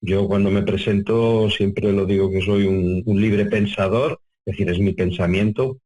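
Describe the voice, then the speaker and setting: A man's voice: fairly low pitch, steady tone, normal speed, a little mellow, rough recording. An older man from Spain answering as an interview guest, reflective and conversational, wordy and often rephrasing as he goes. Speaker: interview guest, UFO contactee researcher.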